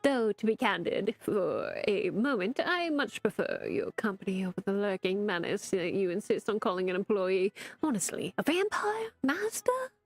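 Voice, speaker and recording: A woman voicing a haughty, sarcastic male talking spellbook, with pompous, drawn-out mockery over faint music. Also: mid-range voice, big pitch swings, dry recording.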